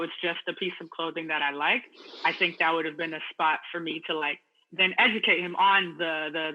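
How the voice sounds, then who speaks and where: A man co-hosting a podcast, talking quickly and playfully in a casual chat. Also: fairly high pitch, highs cut off. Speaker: podcast co-host.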